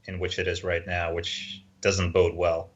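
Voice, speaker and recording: A man giving his view as a podcast panellist in a measured, considered, conversational way. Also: deep voice, completely flat delivery, dull audio. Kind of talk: casual gaming discussion.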